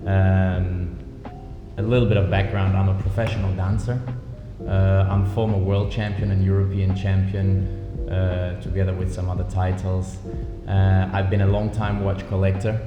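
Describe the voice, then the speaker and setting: A man talks about his path as an interview guest, thoughtful and unhurried, pausing on ums. His voice sits low and stays fairly level. He is heard in a reverberant office with music playing beneath. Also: little pitch movement, lo-fi sound. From Denmark. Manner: measured, thoughtful, many ums. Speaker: watch brand founder, guest. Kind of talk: relaxed interview conversation. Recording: echoey office with music bed.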